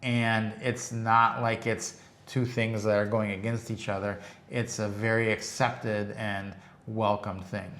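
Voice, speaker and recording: A man's voice: lowish voice, measured pace. Male co-host from Detroit, laid-back anecdotal delivery, roomy sound. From Detroit, USA. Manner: relaxed storytelling, quotes people. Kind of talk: casual travel chat. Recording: echoey room.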